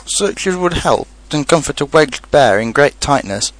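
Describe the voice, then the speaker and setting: A greedy, muddled toy bear as read by a man, pitched well up and swooping in pitch. He is anxious, plaintive and earnest, a storybook creature caught close and dry. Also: lively intonation, normal speed, no room sound, natural voice, low-quality recording. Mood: anxious, gloomy. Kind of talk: storybook character reading.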